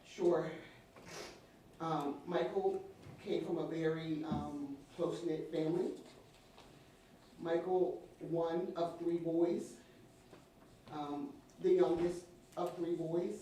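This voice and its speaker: Mellow-voiced woman testifying in echoey courtroom. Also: deep voice, steady tone, slow, long pauses. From Wilmington, Delaware, USA. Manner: careful, precise, formal phrasing. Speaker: witness. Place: large indoor courtroom. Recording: distant echoey courtroom, faint noise.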